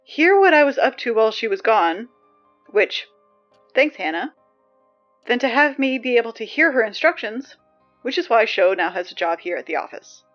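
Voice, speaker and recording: Woman as anxious high school girl, higher voice, sardonic school announcer, rambling, muffled recording with music behind. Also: very animated, moderate pace. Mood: wry, grudging. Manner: rambling, sardonic asides, hesitant self-interruptions. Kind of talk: scripted audio drama monologue as PA announcements.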